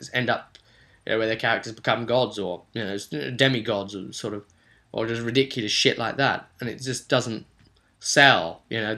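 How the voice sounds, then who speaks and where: A man with a forceful, bright voice at an ordinary male pitch talks through a tabletop roleplaying session. He is an animated game master who rambles, leans hard on key words by repeating them, and voices bits of what players might say. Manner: animated, emphatic, repeats words for stress, acts out imagined players' remarks.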